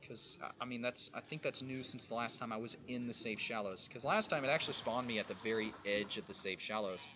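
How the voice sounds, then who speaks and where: A streamer giving loud, animated commentary while playing a game at home. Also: mid-range voice, fairly quick, slight background noise. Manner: animated, sudden excited outbursts. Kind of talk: casual gameplay commentary. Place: indoors at home.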